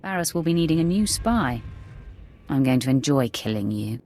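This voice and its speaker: A woman's voice: extremely low pitch, sing-song, normal speed, a little mellow, slight background noise, heavily acted. Cool, menacing female Sith warrior. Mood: cocky, threatening. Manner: cool, threatening, sardonic. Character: deadly, confident female Sith warrior apprentice, menacing and curt. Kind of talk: video game voice acting.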